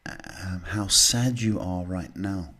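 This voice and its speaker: A man hosting live psychic readings, talking casually and haltingly as he advises people, often checking in with a short questioning word. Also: sits low, bright, forceful tone. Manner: halting, repeats "okay" as a check. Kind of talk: live advice to callers, casual spiritual talk.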